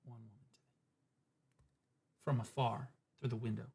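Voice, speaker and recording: A man's voice: medium pitch, level tone, a little slow, vocal fry, dark tone, slightly rough. A man streaming, reacting to clips with emphatic, profane, rambling commentary. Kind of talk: casual ranting commentary.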